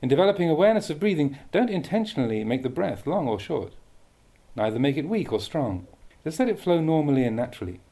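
Mellow-voiced man reading a meditation teaching. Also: a little high, very animated, creaky. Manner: measured, deliberate phrasing. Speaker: Buddhist monk reading a translated dhamma talk. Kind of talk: read-aloud meditation teaching.

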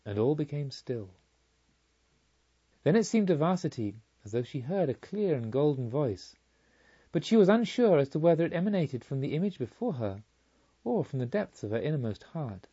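A man reading scripted literary narration as an audiobook reader, in a solemn, measured manner. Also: fairly high pitch, sing-song, dark tone, breathy edge, muffled recording.

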